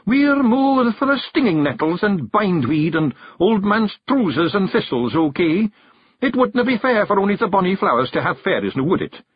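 A man's voice: sits high, very animated, moderate pace, breathy edge, no room sound, highs cut off, pitched above the performer's own voice, full character voice. A man voicing a tiny, rowdy male fairy warrior with a Scottish accent, blustering and pleading in an audiobook. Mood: desperate bluffing. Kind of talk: audiobook character voice.

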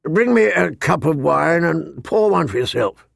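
A man narrating an audiobook, voicing a gruff, grumbling, weary old lord commander with a growling, rumbling voice. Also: expressive, a little slow, no room sound, clean studio sound, exaggerated voice. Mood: gruff, commanding. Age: older.

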